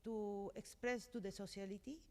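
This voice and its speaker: A woman from Colombia with a Colombian Spanish accent, speaking English as a second language on a conference panel, earnest and often pausing to find words. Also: medium pitch, steady tone, warm tone. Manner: earnest, searching for words, frequent hesitations. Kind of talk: panel discussion. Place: conference hall. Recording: reverberant conference hall.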